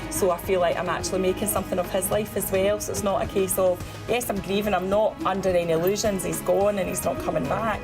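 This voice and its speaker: A woman's voice: mid-range voice, steady tone, fast, few pauses. A middle-aged woman founder speaking in a heartfelt, earnest way during an interview. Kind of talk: emotional interview. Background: music.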